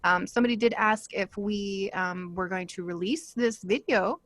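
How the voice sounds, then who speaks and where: A woman hosting a casual panel as a podcaster, speaking informally and telling things in an animated, conversational way. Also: medium pitch, normal speed, no echo. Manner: informal, animated storytelling with "like" and "you know".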